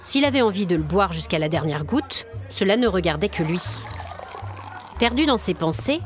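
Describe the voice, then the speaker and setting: A woman's voice: lowish voice, lively intonation, normal speed, faint music behind. A woman storyteller narrating a scripted audio story in a dramatic, atmospheric manner. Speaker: storyteller, podcast host.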